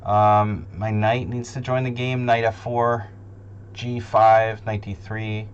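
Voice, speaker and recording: A man streaming chess, thinking aloud in casual, deliberating commentary, muttering to himself between pauses. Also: lowish voice, flat delivery, clear, resonant tone. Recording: dull lo-fi sound, loud hum.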